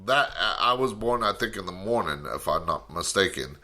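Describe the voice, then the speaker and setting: A man's voice: low-pitched, expressive, normal speed, sharp tone. A man in his forties hosting a podcast, chatting casually in an easygoing, rambling way. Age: 40s. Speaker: podcast host. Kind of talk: casual interview chat.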